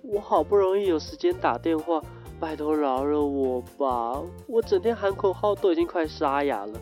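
A man's voice: a little high, expressive, normal speed, a little mellow, close to an everyday voice. A man with a Taiwanese accent playing a young army conscript in a radio drama, earnest, chatty and good-natured. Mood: playful grumbling. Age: young adult. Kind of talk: scripted radio drama dialogue. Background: background music.

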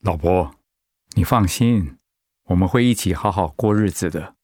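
A man playing a steady, devoted middle-aged husband and father in a radio drama, speaking in an earnest, composed way. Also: low voice, moderate pace, no room sound. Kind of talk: Mandarin radio drama acting.

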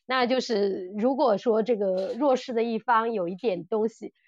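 A woman co-hosting a casual podcast, speaking haltingly and repeating phrases as she builds her point. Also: on the high side, no echo. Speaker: podcast host. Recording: slightly dull, dry audio.

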